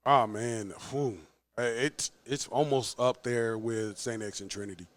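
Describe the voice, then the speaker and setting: A man's voice: medium pitch, unhurried, dry recording. A man in his forties, a head football coach, telling stories with frequent laughs in a casual podcast interview. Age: 40s. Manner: animated storyteller, laughs often.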